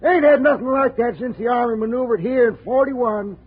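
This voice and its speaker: A man's voice: very high voice, moderate pace, slightly rough. An actor playing a cranky, folksy old backwoods man with a rural American accent in a 1950s radio drama, blunt and given to repeating himself. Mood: cranky, emphatic. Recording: muffled old radio recording.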